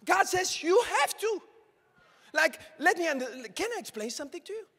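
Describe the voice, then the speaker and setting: A young man preaching a sermon to a congregation with a handheld mic, swinging from shouted calls to hushed asides. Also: very high voice, very animated, normal speed, creaky. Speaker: pastor. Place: large church auditorium with congregation. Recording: long hall reverb from the sanctuary.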